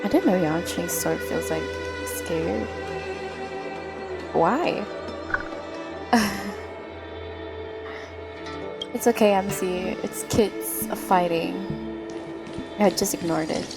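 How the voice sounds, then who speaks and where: Slow creaky-voiced woman streamer. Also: on the low side, loud music behind the voice. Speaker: livestreamer. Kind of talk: casual game stream commentary.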